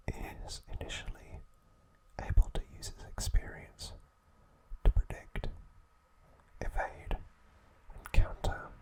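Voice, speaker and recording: A man narrating trivia as an ASMR host, hushed and deliberate, in short separated phrases. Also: rough recording.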